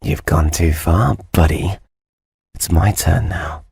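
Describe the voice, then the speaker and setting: A man's voice: deep voice, takes it slowly, creaky, a little mellow, breathy edge, dry recording, studio quality, full character voice. An actor playing a bitter, tormented cartoon man in a horror game, with a theatrical, volatile, foul-mouthed delivery. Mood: menacing, bitter. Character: tormented cartoon man, bitter, taunting, vengeful, then desperate. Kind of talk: dramatic visual novel game voice acting.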